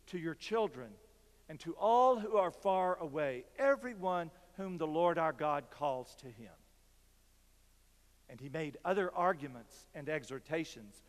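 An older man, a pastor, preaching a sermon from a pulpit with slow, measured delivery and dramatic pauses. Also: on the high side. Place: large church sanctuary, at a pulpit. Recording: long reverb from church hall.

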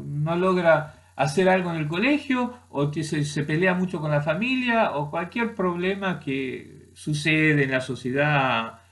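A middle-aged man with an Argentine Spanish accent and a slightly high voice argues his point to a webcam. He stumbles through restarts and fillers as he pushes ahead. The sound comes from a built-in laptop mic in a small room at home. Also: vocal fry. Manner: halting, many restarts and fillers, argumentative. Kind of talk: opinion monologue to camera.

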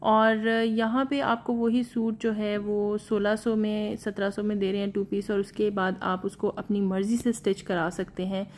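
A woman narrating a shopping vlog in a relaxed, chatty way, often pausing on filler sounds and slipping in English phrases. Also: medium pitch, slight background noise. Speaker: shopping vlogger.

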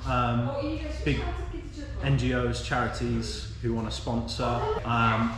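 A man with an English accent, a worker at a sea turtle rescue centre, patiently explaining to a visitor with the odd "um". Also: medium pitch. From England. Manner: patient, informative, with filler "um"s. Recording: very echoey, loud crowd behind.

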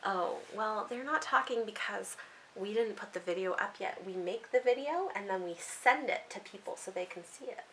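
A woman's voice: medium pitch, normal speed, a bit bright, slight background noise. A woman in her thirties chatting casually as a mother with her small child, asking encouraging questions and echoing the answers. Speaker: mother and book vlogger. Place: small book-lined room at home, indoors.

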